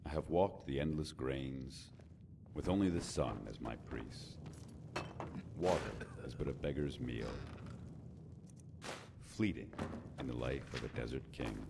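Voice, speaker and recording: A man playing a genial, reflective bard and poet in a fantasy game, with a deep baritone and an easygoing, musing manner. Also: animated, unhurried, vocal fry, long pauses, noisy background, heavily acted. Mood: poetic. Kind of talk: scripted fantasy game dialogue.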